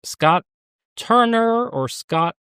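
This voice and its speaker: A man's voice: very high-pitched, lively intonation, extremely slow, a bit bright, smooth, no room sound, studio quality. A man from the United States speaking as an interview guest, earnest and emphatic, with frequent restarts. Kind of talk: conversational interview.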